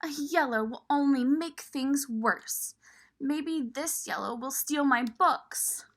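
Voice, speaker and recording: A woman's voice: high voice, slow pace, voice pushed up. A woman voicing a timid, earnest, friendly male character from a children's storybook. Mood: alarmed. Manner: earnest, simple storybook phrasing.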